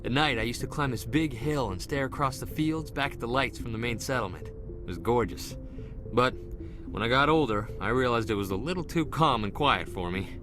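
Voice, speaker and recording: A man acting an eager, restless young marine corporal in a video game, excitable and earnest. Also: medium pitch, normal speed, lightly acted. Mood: wistful. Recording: loud music behind the voice. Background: music.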